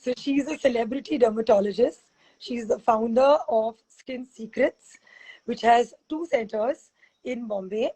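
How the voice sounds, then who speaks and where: Husky-voiced woman hosting a video call. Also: on the high side, measured pace, warm tone, rough recording. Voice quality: husky from teaching classes. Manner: chatty, rambling, laughs often. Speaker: fitness expert and host. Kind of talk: casual video-call interview.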